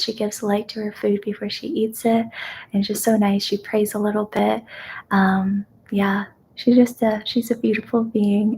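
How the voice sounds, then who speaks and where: A young woman born in China, whose first language is Kazakh, hosting a live ASMR question-and-answer chat in a gentle, soft-spoken way that slips into whispers. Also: medium pitch, slight background noise. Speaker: ASMR host.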